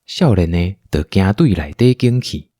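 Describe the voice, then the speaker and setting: A man's voice: sits low, lively intonation, measured pace, mellow, no echo, studio quality. A man with a Taiwanese accent narrating a scripted audio drama as a storyteller.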